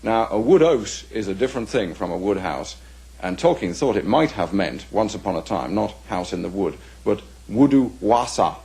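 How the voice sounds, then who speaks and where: A man with an English accent giving unscripted scholarly commentary in an interview, speaking in a deliberate, halting, explanatory way. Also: on the low side, lively intonation, a little mellow, slightly rough.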